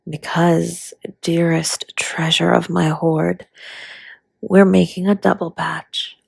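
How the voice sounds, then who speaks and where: Casting: woman as affectionate dragon baker spouse for romance audio roleplay; low, gravelly, breathy, teasing. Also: flat delivery, no room sound, full character voice. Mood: flirty, tender. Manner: breathy, teasing, drawn-out, often dropping to a whisper. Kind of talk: intimate romantic audio roleplay addressed to listener.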